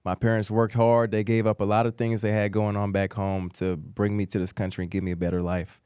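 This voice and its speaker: A man's voice: fairly low pitch, level tone, fairly quick, warm tone, clean tone, no echo, studio quality. A man from Costa Rica hosting a casual chat in a slangy, laid-back way. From born in Costa Rica, brought to the United States. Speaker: host.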